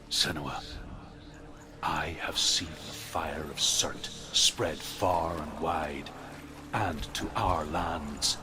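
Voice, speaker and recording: An actor playing a solemn teller of Norse doom myths in a video game, speaking with grave foreboding. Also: male voice, deep voice. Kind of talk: dramatic game storytelling. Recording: clear ambient noise behind.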